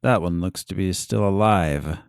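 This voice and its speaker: An actor playing a scheming doctor in an audio drama, formal, deliberate and wry as he bargains. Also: sits low, gravelly, clean tone, no room sound, studio quality. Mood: smooth scheming. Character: scheming, duplicitous doctor, adult man, bargaining smoothly.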